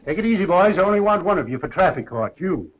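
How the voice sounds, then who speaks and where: Brusque old-movie jailer, bossy, voice swings around a lot, boxy sound. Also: male voice, high voice, very animated, fast talker, slight background noise. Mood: bossy, sardonic. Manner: brusque, sardonic. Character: gruff, bossy 1930s jailhouse guard. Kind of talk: 1930s film acting. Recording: dull, boxy 1930s film soundtrack.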